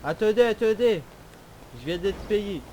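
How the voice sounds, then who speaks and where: A man's voice: high voice, animated, unhurried, a little mellow, slight background noise, poor audio. A man improvising a cheeky, whining troublemaker under arrest in online game roleplay, speaking with mock politeness. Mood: mock-polite.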